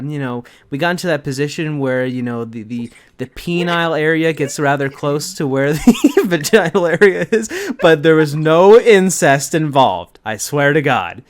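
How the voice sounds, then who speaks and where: A co-host riffing casually on a dramatic reading in a sarcastic, mock-defensive way, with halting repetitions. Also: medium pitch, clear, resonant tone.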